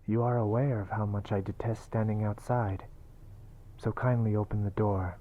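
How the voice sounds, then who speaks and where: A man playing a composed, formal ghoul doctor in an anime audio roleplay, speaking in a measured, dry way. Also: on the low side, steady tone, dull audio, lightly acted. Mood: dry, impatient. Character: composed, formal male ghoul doctor, curt but loyal. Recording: prominent music bed under voice. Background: music.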